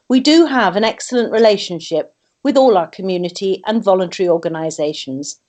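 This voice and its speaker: A woman councillor speaks at a mid pitch. Her delivery is formal and measured, in the style of an answer at a council meeting. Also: darkish tone. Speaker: councillor, portfolio holder.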